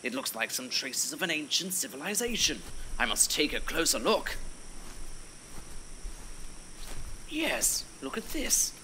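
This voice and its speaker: Bumbling adventure hero played by a man for audio story: theatrical, lively, swooping pitch, quaint old-fashioned turns. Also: moderate pace, slight background noise, rough recording. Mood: excited. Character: bumbling excitable adventurer hero, thinking aloud.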